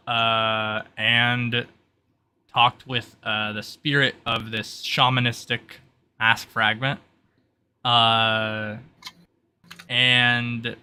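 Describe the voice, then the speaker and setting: A man narrating a tabletop roleplay session as game master, in a halting delivery with drawn-out pauses. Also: mid-range voice, bright, forceful tone, clean tone.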